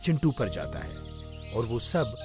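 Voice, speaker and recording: A man's voice: medium pitch, sing-song, slow, over music. A man narrating a scripted children's story as its storyteller.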